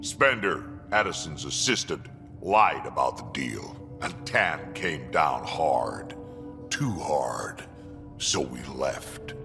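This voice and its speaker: An actor playing an ancient, blunt alien mercenary and grandfather with a gravelly voice in a video game. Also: very deep voice, lively intonation, takes it slowly, in a large hall, music in the background, heavily acted. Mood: gruff, distrustful. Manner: blunt, coarse. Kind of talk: video game character dialogue.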